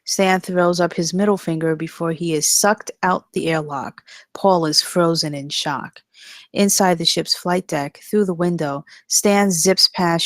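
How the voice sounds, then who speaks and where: A woman's voice: fairly low pitch, dry recording. A woman reading scripted stage directions as the narrator.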